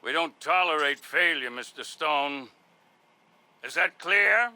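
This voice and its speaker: A man playing an arrogant, menacing strategist in a video game, speaking with haughty, deliberate condescension. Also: fairly high pitch, expressive, sharp tone, dry recording, full character voice. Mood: haughty, scornful. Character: arrogant, menacing male strategist villain, coldly superior. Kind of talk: video game cutscene acting.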